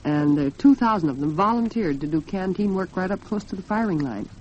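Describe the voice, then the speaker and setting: A dull, band-limited 1940s radio recording carries an American woman's low voice. She talks as a program host, chatty and earnest, with a homely touch. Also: lively intonation, fairly quick, a little mellow. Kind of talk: 1940s radio talk with scripted sponsor chat.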